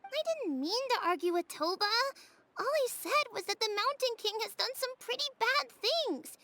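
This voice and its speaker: A woman's voice: very high-pitched, expressive, normal speed, bright, forceful tone, a little hiss or hum, full character voice. A woman voicing an earnest young village boy who is defensive but sincere, in a video game dub. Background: game music.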